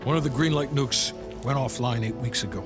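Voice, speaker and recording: Over loud music, a man acts out a stern intelligence handler in a dramatic game cutscene. He sounds irritable and firm, with clipped delivery at an ordinary male pitch. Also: fairly quick, lightly acted. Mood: grave, matter-of-fact.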